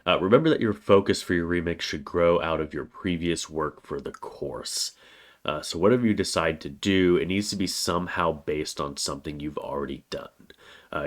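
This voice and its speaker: Very deep-voiced man with a cold. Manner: plain, steady delivery with frequent "uh" fillers. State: has a cold, slightly congested. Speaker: college writing instructor. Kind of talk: recorded course overview lecture.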